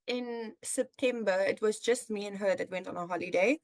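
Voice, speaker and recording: A young woman with a South African accent, chatting casually as a podcast host and telling stories in an animated, chatty way. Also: medium pitch, moderate pace, dry recording. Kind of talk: casual chat with a friend.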